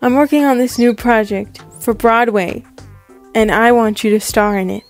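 A woman's voice: fairly high pitch, moderate pace, soft background music, close to an everyday voice. A woman voicing a self-assured, encouraging Broadway producer in a story roleplay, speaking directly. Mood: pitching.

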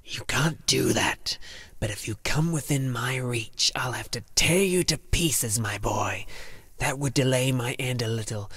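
A man voicing a dying werewolf in a storybook, bitter, menacing and weary, with a breathy, whispery growl. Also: medium pitch, a bit bright. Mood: weary warning. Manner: slow, drawn-out, scornful delivery.

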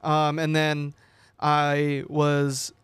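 Chatty young man, bright and smooth. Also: a little high, monotone, extremely slow, no echo. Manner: chatty, rambling with fillers and repeats. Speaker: sports show co-host. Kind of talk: casual chat. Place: small TV studio, indoors. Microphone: desk mic on boom arm.

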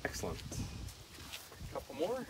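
A middle-aged man giving a relaxed, hands-on fish filleting demonstration at an outdoor cleaning table, talking as he works. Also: moderate pace, distant mic, noisy background. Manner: relaxed, talks while working, repeats "see that". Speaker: fishing video host.

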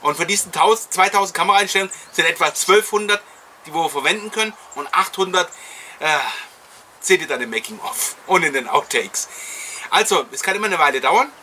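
A man's voice: high-pitched, expressive, a little fast, pushed and bright. A middle-aged man presents a retro show for collectors. He speaks straight to his viewers in an effusive, chatty way. There is some noise behind his voice. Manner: effusive, addressing viewers directly. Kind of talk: enthusiastic chatty presenting to camera.

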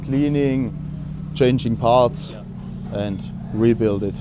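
A man's voice: medium pitch, slow pace, noisy background. A man in his forties explaining engines to a group during a tour of an indoor workshop, in a plain, technical way. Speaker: engine builder.

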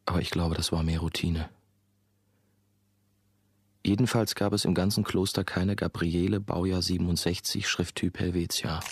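An actor playing a brooding monastery novice who narrates his own story in a measured, musing, dry way. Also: low voice, flat delivery, slightly rough. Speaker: narrator and main character. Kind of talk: first-person radio play narration.

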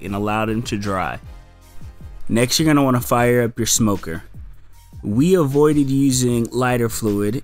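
A man with a mid-range voice narrates how to cook a dish, talking the listener through each step in an easygoing, conversational way. Faint music runs underneath. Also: mid-range voice. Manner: casual, relaxed instruction with "you're gonna wanna". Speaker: family member demonstrating a recipe. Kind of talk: instructional voice-over.

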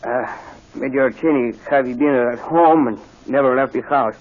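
An actor playing a stiff, loyal manservant with formal, halting speech in an old radio drama. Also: male voice, medium pitch, darkish tone, natural voice. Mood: dutiful, formal. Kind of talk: 1940s radio acting. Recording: dull old radio broadcast.

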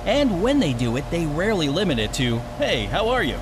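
A man's voice: a little high, big pitch swings, a little fast, background noise. A man reading the scripted narration of an explainer video in an upbeat, punchy way.

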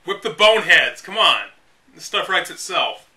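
A man with a high, very sharp and forceful voice hosts a read-aloud. He delivers the text dramatically, then drops into casual, mocking commentary with an exasperated edge. He sounds set back a little from the microphone. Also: very animated, unhurried, distant mic. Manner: sarcastic, exasperated. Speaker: host reading a game guide aloud with commentary.

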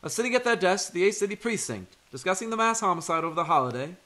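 A man's voice: high voice, sing-song, rapid-fire, a bit bright. A man giving scripted narration for an old-time radio drama.

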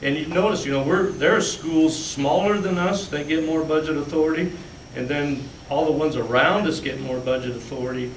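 A man's voice: fairly high pitch, normal speed. Plainspoken man at a meeting, far off. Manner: plainspoken, walks listeners through handouts step by step. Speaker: school business official presenting budget. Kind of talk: explaining figures at a school board meeting. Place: large meeting room with fluorescent lights. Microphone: far room camera. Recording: echoey room, distant mic, faint hum.

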